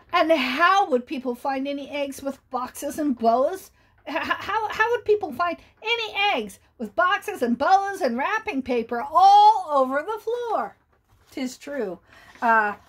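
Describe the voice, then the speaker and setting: A woman reading a picture book aloud to children, chatty and animated, often pausing to ask her listeners questions. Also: very high voice. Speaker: storyteller.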